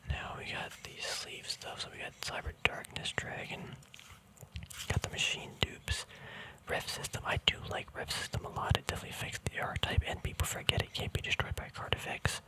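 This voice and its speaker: A man speaks entirely in a hushed whisper, as in an ASMR video. He rambles casually through a show-and-tell, musing and hesitating, often letting a thought trail off before picking up the next. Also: low-quality recording. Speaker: ASMR video creator showing a trading card deck.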